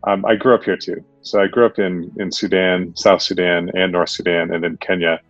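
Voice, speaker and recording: A man with a very low voice gives a casual, halting webinar talk, fillers scattered through his sentences. The sound is dull and dry, as video-call audio often is. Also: flat delivery, no room sound, soft background music. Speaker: guest speaker, tech entrepreneur. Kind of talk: webinar presentation.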